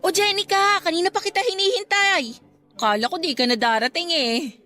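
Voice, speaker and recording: A woman's voice: very high voice, moderate pace, sharp tone, soft background music. A woman playing a grateful, wistful young woman in a Filipino radio drama, speaking with a Filipino accent. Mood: cheerful, welcoming. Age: young adult. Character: grateful, wistful young woman, newly graduated, missing her late love.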